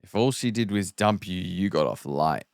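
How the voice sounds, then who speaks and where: A man's voice: low voice, lively intonation, moderate pace, gravelly, dry recording, clean studio sound. A man playing a miserable, grumpy young man who has been dumped, sighing and downbeat, in a table read of a film comedy. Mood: miserable, upset.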